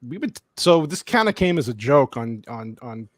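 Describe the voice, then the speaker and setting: A man's voice: medium pitch, big pitch swings, normal speed, no room sound, studio quality. Joking casual livestream host, man. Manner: loose, joking, lots of fillers.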